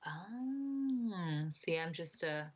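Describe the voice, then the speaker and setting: Easygoing American woman, lowish voice. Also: animated, very slow, breathy edge, highs cut off. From United States. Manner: easygoing, laughs often, reads out chat comments. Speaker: co-host. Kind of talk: casual banter while playing.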